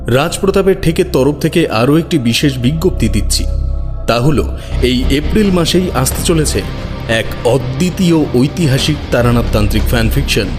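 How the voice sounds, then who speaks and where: Man introducing a story over music. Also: mid-range voice. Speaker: story channel host and writer. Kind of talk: scripted channel introduction.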